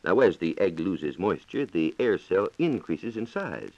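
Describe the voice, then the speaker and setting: Deep-voiced 1940s American radio science man. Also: very animated, a little slow. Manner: formal, precise explaining. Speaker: science reporter on a radio program. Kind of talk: 1940s radio science talk, scripted explanation. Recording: dull, echoey 1940s radio recording.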